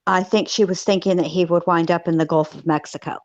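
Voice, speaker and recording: A woman with a slightly low voice, recorded close and dry without room sound. She comments as a co-host, casual but emphatic and analytical. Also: fairly even intonation, quick pace, darkish tone, no room sound, highs cut off. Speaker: co-host of a true crime recap show.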